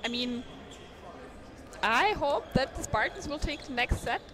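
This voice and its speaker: Woman, non-native English speaker, live sports commentator; fairly high, chatty delivery over loud hall noise. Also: lively intonation, measured pace. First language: not English. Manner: chatty, thinks aloud, stumbles on names. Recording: noisy sports hall ambience. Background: bouncing balls and hall noise.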